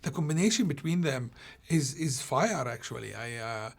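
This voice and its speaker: A man from Palestine, a film director, answering interview questions in a halting way, often restarting as he searches for words. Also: mid-range voice, animated, vocal fry.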